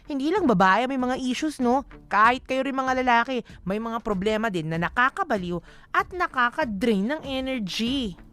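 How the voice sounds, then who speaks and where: A woman's voice: fairly high pitch, animated, normal speed. A woman with a Filipino accent hosting on radio, chatty and opinionated, giving conversational commentary full of slang. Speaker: radio host.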